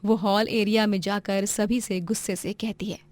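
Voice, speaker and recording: A woman's voice: mid-range voice, flat delivery, normal speed, a little mellow, no echo. A woman narrating a scripted moral story as the storyteller.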